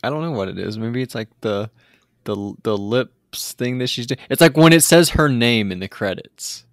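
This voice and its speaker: A man's voice: mid-range voice, animated, normal speed, no room sound, studio quality. A man co-hosting a podcast, casually recapping a TV episode in a rambling way with many fillers and restarts. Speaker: podcast co-host.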